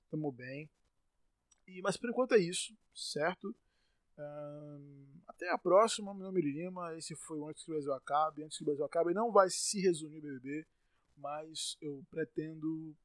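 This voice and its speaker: A Brazilian man rants on his solo podcast, his fairly high voice jumping into loud outbursts before he tries to calm himself. He stumbles and repeats words when worked up. The sound is dry and close, with no room around it. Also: no room sound. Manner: exasperated ranting with sudden loud outbursts. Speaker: podcast host.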